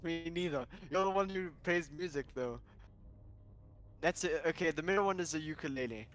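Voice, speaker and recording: A man's voice: on the high side, normal speed. A man livestreaming, chatting casually as he plays a game. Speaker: streamer. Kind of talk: casual livestream chat while gaming. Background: faint game music.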